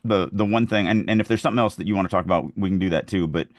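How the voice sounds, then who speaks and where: Man, very deep voice, dry close sound, casual podcast talk, emphatic, illustrative. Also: very fast, dry recording. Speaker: podcast host and writing coach. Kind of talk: casual podcast chat about writing.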